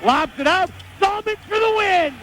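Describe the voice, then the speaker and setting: A man calling live basketball play-by-play, shouting excitedly, on a poor-quality broadcast tape. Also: extremely high pitch, moderate pace, music in the background. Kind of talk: excited live game commentary.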